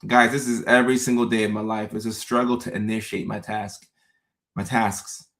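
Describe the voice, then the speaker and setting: A man in his thirties from Ghana, hosting a relaxed livestream and chatting with viewers, often repeating his phrases. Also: fairly low pitch, normal speed. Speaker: host. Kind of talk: casual livestream chat.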